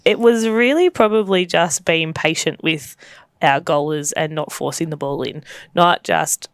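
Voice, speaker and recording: A woman with a slightly croaky voice, co-hosting a casual netball chat as a coach, chatty with lots of fillers and laughs. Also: low voice, a little slow, dry recording, clean studio sound.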